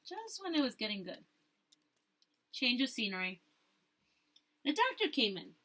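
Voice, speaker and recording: A woman teacher reading a storybook aloud to pupils and pausing to ask them questions. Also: on the high side, big pitch swings, bright, forceful tone.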